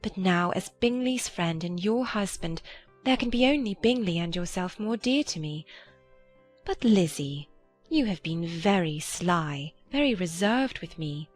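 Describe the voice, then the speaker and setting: A woman playing a gentle, earnest, affectionate young gentlewoman in a dramatized period audiobook. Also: on the low side, lively intonation, a little slow, faint music behind. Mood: happy, affectionate. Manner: earnest, tender.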